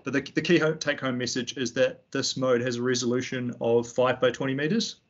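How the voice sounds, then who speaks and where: Relaxed Kiwi man on a call. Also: medium pitch, a little fast, highs cut off. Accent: New Zealand. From New Zealand. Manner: relaxed, with frequent ums and restarts. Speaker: fisheries analyst giving a tutorial. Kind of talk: online slide presentation. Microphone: computer mic on a video call.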